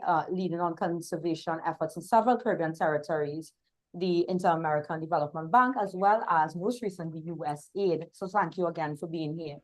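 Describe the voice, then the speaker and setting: A woman's voice: on the low side, rough recording. A woman with a Trinidadian accent, moderating a hybrid panel session and introducing the speakers formally, with some fillers. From Trinidad and Tobago. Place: outdoor hot venue, hybrid session.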